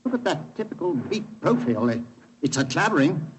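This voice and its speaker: An actor in a 1940s radio drama playing an enthusiastic but hesitant English amateur explorer, with a British accent and slightly stammering speech. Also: male voice, fairly high pitch, big pitch swings, brisk. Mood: excited. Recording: noisy old 1940s radio recording.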